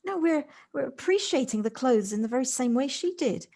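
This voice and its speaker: Casting: woman playing theatrical, tender-hearted friend for audio play; slightly high voice, effusive, small stammered starts. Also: expressive, brisk, warm tone. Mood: excited. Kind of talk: amateur audio drama acting. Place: recorded at home on a personal device.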